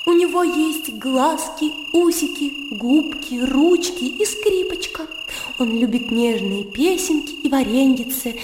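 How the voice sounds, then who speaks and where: A high, girlish voice playing a lively young provincial woman in a radio play. She is blunt, teasing and emphatic, heard in reverberant sound with crickets around her. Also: a little slow, background noise. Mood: mocking, emphatic. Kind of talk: Russian radio play acting. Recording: echoey reverberant sound, crickets and outdoor ambience.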